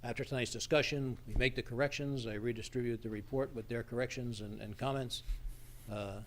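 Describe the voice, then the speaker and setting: A man's voice: medium pitch, steady tone, brisk, slight background noise. A man arguing his view off the cuff as an advisory member at a public meeting, emphatic and opinionated. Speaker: advisory committee member presenting a report. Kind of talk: unscripted discussion at a public meeting. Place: public township meeting room.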